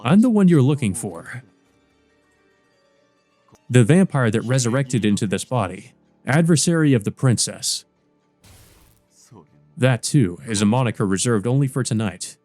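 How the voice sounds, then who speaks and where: A man's voice: mid-range voice, big pitch swings, a little slow, pauses often, a little mellow, no room sound. A man acts out an ancient male vampire villain for a visual novel read-aloud. He is smug and theatrical, sneering and gloating at whoever he addresses, with music playing behind him.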